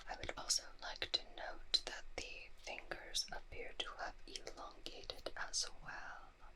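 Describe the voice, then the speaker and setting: A woman performing an ASMR roleplay as a calm, fascinated doctor, measured and clinical in a soft breathy whisper. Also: low-quality recording. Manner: measured, clinical note-taking with murmured asides.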